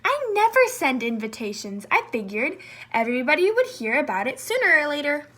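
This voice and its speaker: A woman's voice: high-pitched, very animated, fairly quick, exaggerated voice. A woman voicing a friendly, welcoming zebra who chuckles while inviting everyone in, in a storybook reading. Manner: warm, chuckling.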